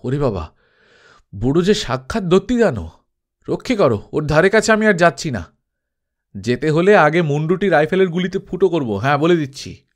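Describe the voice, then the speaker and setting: A man's voice: fairly high pitch, sing-song, moderate pace, long pauses, pitched above the performer's own voice. Man acting brash, swooping male adventurer Harry. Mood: alarmed, threatening. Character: Harry, brash hot-tempered male adventurer, grumbling and defiant. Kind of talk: dramatised audiobook storytelling.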